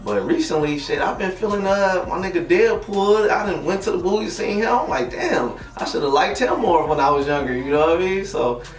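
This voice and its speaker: A man's voice: high voice, sing-song, fairly quick, hardly any pauses. A young man talking casually in an interview, slangy and laid-back, often asking "you know what I mean.". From Detroit, USA. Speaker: interviewed rapper. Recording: roomy, distant mic, music behind.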